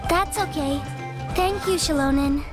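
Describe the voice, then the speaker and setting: A woman voicing a frail, sweet young girl in a video game, soft and childlike, speaking politely and gently. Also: sits high, unhurried, music in the background. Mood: meek, sweet.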